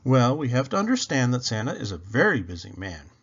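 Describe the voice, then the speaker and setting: A man voicing a kind, gentle mother in a children's storybook. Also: medium pitch, sing-song, a little fast, breathy edge, dull audio. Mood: explaining gently.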